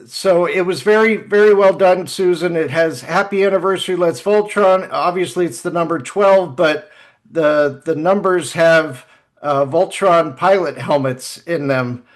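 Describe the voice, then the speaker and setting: A man's voice: fairly high pitch, unhurried. A man hosting a podcast casually, introducing guests with enthusiasm and appreciation. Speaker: podcast host.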